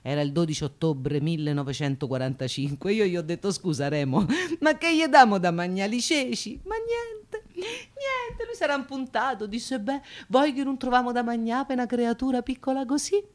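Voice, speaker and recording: An actress playing a brash, voluble working-class aunt with a Roman accent in an Italian radio drama, talking colloquially with dramatic exclamations. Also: female voice, fairly high pitch, animated, normal speed, hardly any pauses, natural voice. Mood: animated storytelling.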